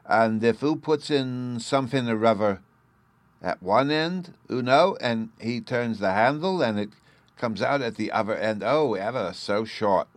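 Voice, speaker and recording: Guy putting on a high lispy fairy boy voice, eager little kid, dry close sound. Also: measured pace, no room sound, close to an everyday voice. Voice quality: babyish lisp. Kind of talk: audiobook character voice.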